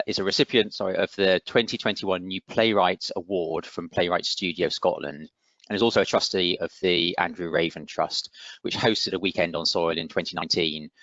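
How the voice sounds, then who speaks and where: Deep-voiced man hosting a formal webinar. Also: fairly bright tone, dry recording. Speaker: event host introducing speakers. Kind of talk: formal scripted webinar introduction. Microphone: online video call microphone. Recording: dull lo-fi video call audio.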